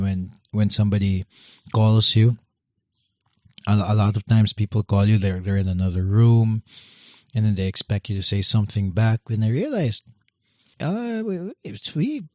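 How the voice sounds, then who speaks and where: A man, hosting a podcast while losing his voice, speaking quietly and hesitantly. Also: fairly low pitch, big pitch swings, normal speed, no echo, studio quality. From Philippines. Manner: quiet, hesitant, many restarts. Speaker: podcast host. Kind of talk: casual solo podcast intro.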